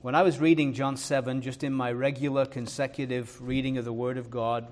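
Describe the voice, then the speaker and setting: A man preaching a sermon in an English accent from Leeds, mixed with Irish and American traces. He reads scripture slowly and with weight, and often pauses on "uh" and "um". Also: mid-range voice, little pitch movement. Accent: Leeds English, touched by Irish and American. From northern England. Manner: earnest, fills pauses with "uh" and "um".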